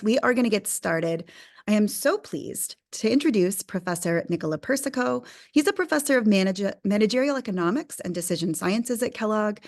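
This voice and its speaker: Upbeat woman hosting a webinar. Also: mid-range voice. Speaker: webinar host and editor. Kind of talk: scripted introduction.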